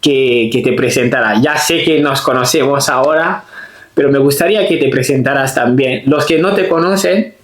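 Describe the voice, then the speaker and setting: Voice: mid-range voice, moderate pace. A podcast host from Guinea interviewing a guest, speaking hesitantly with frequent repeated words. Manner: hesitant, repeats words, many thanks and acknowledgments. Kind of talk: casual interview hosting. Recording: echoey room.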